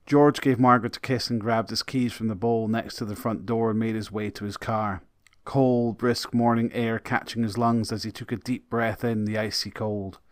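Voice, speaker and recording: A man's voice: on the low side, fairly even intonation, normal speed, dry recording, clean studio sound, soft background music. A man reading scripted, dramatic story narration.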